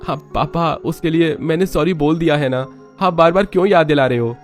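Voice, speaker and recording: A man plays an eager young husband in a cartoon family story. His voice sits high and moves along quickly, with an affectionate, upbeat manner. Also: faint music behind, natural voice. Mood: mildly defensive. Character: excited young husband and son, cheerful and affectionate.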